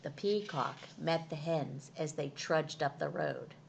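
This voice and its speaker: A woman reading a children's storybook aloud as an expressive narrator. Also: low voice, unhurried, gravelly, slight background noise. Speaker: storytime reader.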